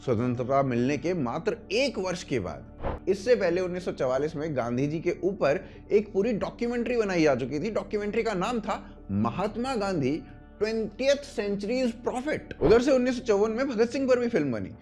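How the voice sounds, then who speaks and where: A man's voice: high-pitched, very animated, moderate pace, darkish tone, soft background music. A man with an Indian accent presenting a scripted explainer as host, in an emphatic, opinionated storytelling manner. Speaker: host of a video essay.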